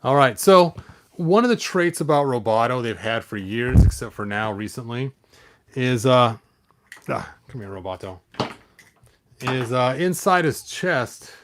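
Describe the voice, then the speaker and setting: A man's voice: mid-range voice, background noise. Casual, rambling man hosting a vlog. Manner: digressive, talking to himself while handling things. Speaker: toy collector, video host.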